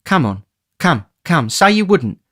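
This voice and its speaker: A man voicing a weary, pale young woman who speaks softly and pleads tenderly. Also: mid-range voice, normal speed, creaky, clear, resonant tone, voice pushed up. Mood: pleading, tender.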